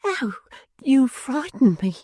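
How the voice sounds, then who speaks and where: A high, swooping voice of a frightened little wife, pleading and sobbing. The tone is soft and mellow, recorded dry and close. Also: male voice, very animated, slow, slightly rough, no echo, heavily acted. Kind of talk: audiobook character voice.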